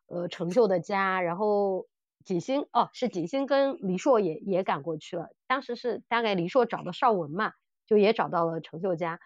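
A middle-aged woman co-hosting a podcast in casual chat, animated and blunt as she talks through audio dramas. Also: medium pitch, normal speed, no echo, muffled recording. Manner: animated and blunt, mimics characters' lines. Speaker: podcast host.

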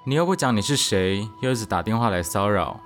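A man's voice: lowish voice, animated, fairly quick, over music, natural voice. A man playing a protective, stern young male housemate in a radio drama. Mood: confrontational.

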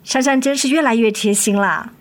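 A woman acting a gentle, weary, loving middle-aged mother in a radio drama, soothing and affectionate. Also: a little high, brisk, lightly acted. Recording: music under the voice.

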